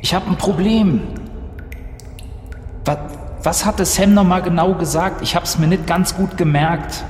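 A man's voice: high voice, fairly even intonation, a little slow, long pauses, darkish tone, soft background music. A man improvising as a player in a tabletop role-playing game, thinking aloud hesitantly. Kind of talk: improvised in-character tabletop play. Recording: echoey, reverberant, faint background noise.

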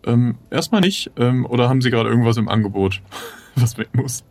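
A man's voice: medium pitch, lively intonation, a little fast, a little mellow, no echo. A man playing an improvised adventure in casual conversational speech, with a tentative, questioning manner. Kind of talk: casual in-character role-play chat.

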